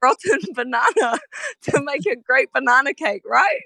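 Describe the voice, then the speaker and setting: NZ woman, bright sharp voice, dry sound, giggly and animated. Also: sits high, moderate pace, vocal fry, no echo. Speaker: chat participant. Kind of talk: casual voice chat.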